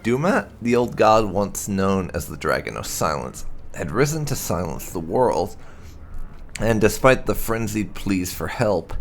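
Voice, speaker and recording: A man reads game lore aloud in a low, gravelly voice with plenty of fry. His delivery is measured and storyteller-like, rising and falling in a lively way with emphatic phrasing. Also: slight background noise. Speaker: gaming channel host. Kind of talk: reading game lore aloud as scripted narration.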